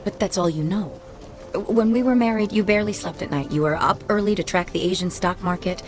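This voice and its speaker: An actress playing a bitter, guarded ex-wife in a radio drama, speaking in a sardonic, clipped, reproachful way. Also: female voice, mid-range voice, fairly quick, close to an everyday voice. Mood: skeptical. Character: bitter, guarded, sarcastic ex-wife, no-nonsense woman. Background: faint background music.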